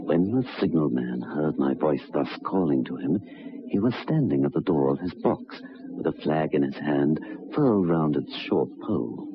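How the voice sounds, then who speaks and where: A very deep, gravelly haunted storyteller. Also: male voice, lively intonation. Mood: measured recollection. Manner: literary, measured, brooding. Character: haunted male storyteller recalling an uncanny railway encounter. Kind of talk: 1960s radio drama narration. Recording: dull, noisy 1960s radio recording.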